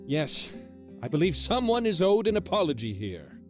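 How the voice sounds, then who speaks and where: Pompous, swooping theatrical hero. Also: male voice, fairly high pitch, huge pitch swings, moderate pace. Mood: smug, scolding. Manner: grandiose, condescending, self-important. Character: pompous, vain, theatrical male town protector. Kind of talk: video game character acting. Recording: music behind the voice.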